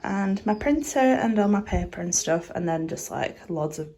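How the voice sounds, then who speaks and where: A woman's voice: mid-range voice, expressive, normal speed, mellow. Stuffed-up woman chatting. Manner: chatty and rambling, full of "like" fillers. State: stuffed up and nasal from a cold. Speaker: artist vlogger. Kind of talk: casual studio tour vlog. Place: small home art studio.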